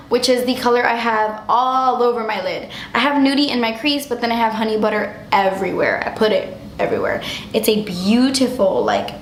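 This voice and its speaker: Young woman beauty vlogger, bit high, chatty and gushy, hoarse from a cold, echoey room. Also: slight background noise. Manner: gushing, chatty, lots of "like". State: losing her voice, getting sick. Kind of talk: casual product review.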